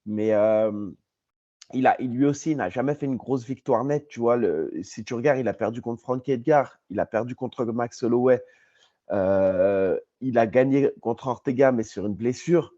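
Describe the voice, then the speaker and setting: A French man co-hosting a podcast argues his case with insistence, nasal from a cold. His voice sits warm and mellow. The recording sounds dull and lo-fi. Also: mid-range voice, moderate pace, no room sound. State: has a cold, coughing. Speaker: podcast co-host. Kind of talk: casual podcast debate.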